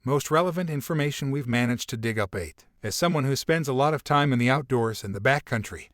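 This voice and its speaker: A man's voice: mid-range voice, animated, fairly quick, a bit bright, no room sound. A man delivers scripted review narration in a mid-range voice. The recording is dry and close, with no room sound around the words.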